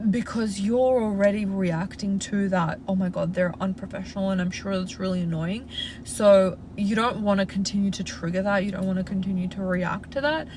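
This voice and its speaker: A woman's voice: medium pitch, moderate pace, darkish tone. Aussie girl doing a live q&a from her car, lots of "like", chill and reassuring, road noise going behind her. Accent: Australian. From Australia. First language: Russian. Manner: chatty, frequent "like" fillers, reassuring advice-giver. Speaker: livestream host answering viewer questions. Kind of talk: casual live Q&A. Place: inside a parked car.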